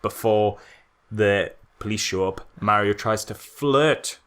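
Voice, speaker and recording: A man co-hosting a podcast, chatting casually and comically about films in an animated, mock-serious way with rhetorical questions. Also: on the low side, slow, smooth. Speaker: podcast co-host. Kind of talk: casual comedic film critique chat.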